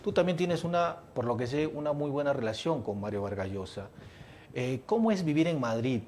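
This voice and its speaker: Measured interviewer. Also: male voice, medium pitch, lively intonation, a little mellow, slight background noise. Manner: measured, with hesitant fillers. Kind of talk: interview question.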